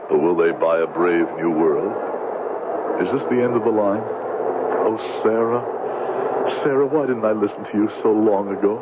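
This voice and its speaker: A man's voice: fairly low pitch, moderate pace, pauses often, natural voice. An actor playing a grandiloquent, down-on-his-luck con man who poses as a doctor in a 1970s radio drama, speaking in a florid, theatrical way. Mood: mournful. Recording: tinny, noisy 1970s radio recording.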